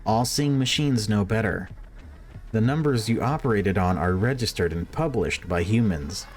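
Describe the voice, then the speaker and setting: A man's voice: on the low side, lively intonation, normal speed, a little mellow, close to an everyday voice. A man voicing a stern, condescending official who preaches machine worship in formal sermons, read aloud from a horror visual novel. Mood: cold condescension.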